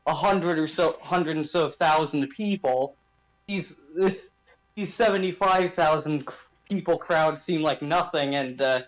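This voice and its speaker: A man's voice: a little high, unhurried, poor audio. A man chatting casually and emphatically about sports as a podcast host, in informal language.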